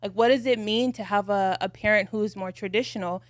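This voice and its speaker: Dry, measured woman co-host. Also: medium pitch, fairly even intonation, no room sound. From United States. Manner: reflective, measured storytelling with fillers. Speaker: podcast co-host. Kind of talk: casual podcast chat.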